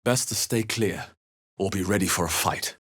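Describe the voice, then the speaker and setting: A male fantasy game hero on the road. He is wary and level-headed, speaking in short scripted lines. His delivery is measured and earnest, with a theatrical edge. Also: low voice, fairly bright tone, breathy edge. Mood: watchful. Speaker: video game player character. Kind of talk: scripted game voice lines, short exploration remarks and spell chants.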